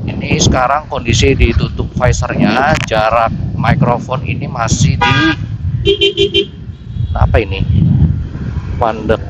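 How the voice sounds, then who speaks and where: Man, Jakarta Indonesian accent, mid-pitched voice, informal thinking-aloud chat, motorcycle vlogger, close helmet mic, clear vehicle noise. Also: fairly even intonation, a little slow, pauses often, muffled recording. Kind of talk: casual chat to viewers while riding. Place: outdoors on a motorcycle in city streets. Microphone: intercom mic a finger from mouth, inside helmet. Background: traffic and engine noise.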